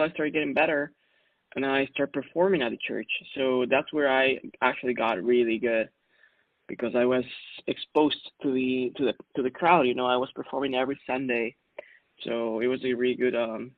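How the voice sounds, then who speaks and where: A man from Ecuador, in his thirties, talks through his story in a loose, easygoing way, full of fillers and quick laughs. His voice has a gravelly edge. The sound is dry and narrow, like a phone line. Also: medium pitch, no room sound. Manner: relaxed, chatty, laughs often, many fillers. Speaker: guest, music producer. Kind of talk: casual interview answers.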